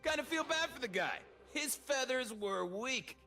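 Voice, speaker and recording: A man's voice: very high-pitched, animated, a little slow, bright, forceful tone, soft background music, exaggerated voice. A man voicing a cocky, flamboyant bird-man warrior in a video game, vain and mocking, with a theatrical, teasing delivery. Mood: scornful. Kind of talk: video game character voice lines.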